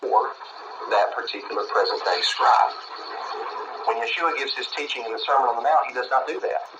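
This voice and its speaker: A man's voice: medium pitch, normal speed. A man delivering a religious radio sermon, heard through a shortwave radio. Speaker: religious broadcast preacher. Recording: staticky shortwave radio, echoey, very noisy.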